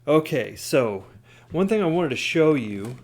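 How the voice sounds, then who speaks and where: A man's voice: fairly high pitch, very animated, unhurried, a little hiss or hum. A man hosting a hands-on DIY tutorial, talking informally and explaining things step by step. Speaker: DIY hobbyist video host. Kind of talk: casual hands-on tutorial talk.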